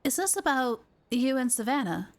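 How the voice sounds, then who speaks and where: A woman's voice: high-pitched, big pitch swings, moderate pace. A woman game streamer giving casual live commentary, playfully reacting aloud to what happens. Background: game music.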